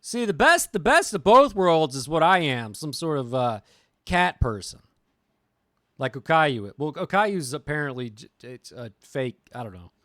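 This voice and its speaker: A man's voice: a little high, sing-song, unhurried, a bit bright, dry recording, studio quality. A man streaming a visual novel, reading aloud theatrically and adding crude, casual asides.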